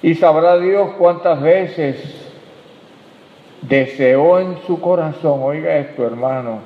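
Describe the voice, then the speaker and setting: Slow-preaching pastor, man. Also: a little high. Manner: preaching with emphatic rises and soft drops, addressing the congregation. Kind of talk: sermon. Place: church hall. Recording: tinny, echoey hall recording.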